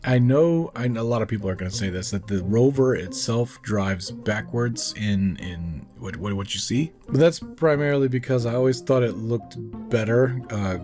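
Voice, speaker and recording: A man hosting a gaming channel, slightly hoarse from a coming cold, casually narrating his game build with frequent restarts and self-corrections. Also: medium pitch, normal speed, hardly any pauses, a little mellow. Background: faint in-game music.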